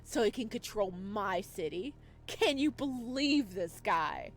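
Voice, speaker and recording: A woman's voice: medium pitch, expressive, takes it slowly, slight background noise. Woman acting a furious, mocking male crime boss. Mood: incredulous anger. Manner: emphatic, swearing, incredulous.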